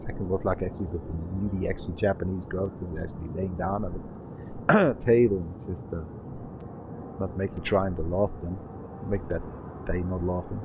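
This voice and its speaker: A man's voice: deep voice, fairly even intonation, normal speed, muffled recording, background noise. A man in his thirties streaming a game, rambling and swearing in a frustrated way. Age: 30s. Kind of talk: casual gaming stream chat.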